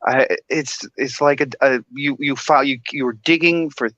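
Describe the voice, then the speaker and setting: A man's voice: medium pitch, steady tone, moderate pace, a bit bright, no room sound, highs cut off. A man in his thirties from Michigan, a guest on a casual chat. He talks chattily, laughs often and restarts his sentences. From Michigan, USA. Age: 30s.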